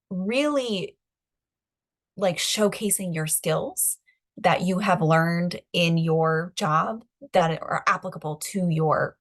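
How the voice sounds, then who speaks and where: Chatty young woman, lower pitch. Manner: chatty, many fillers and likes. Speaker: advocacy organizer, webinar panelist. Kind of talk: casual panel answer.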